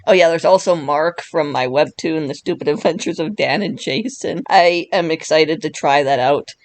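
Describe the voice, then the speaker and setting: A young woman with a raspy voice from being sick, chatting casually and laughing often as a YouTuber. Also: low voice, normal speed. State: sick, voice worn. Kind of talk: casual commentary while chatting with an AI chatbot.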